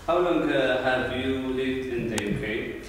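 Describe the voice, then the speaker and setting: A man interviews someone, asking slow, hesitant questions with non-native grammar. His voice is of middling pitch for a man and comes from some way off in a very echoey room. A faint hiss sits under it. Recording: echoey room recorded from a distance.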